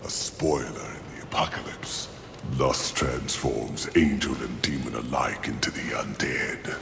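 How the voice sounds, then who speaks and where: An actor voicing a proud, embattled angel commander in a video game, speaking with grand, formal deliberation. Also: male voice, a bass voice, measured pace, exaggerated voice. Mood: grave. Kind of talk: theatrical video game acting. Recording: echoey hall reverb, clear noise.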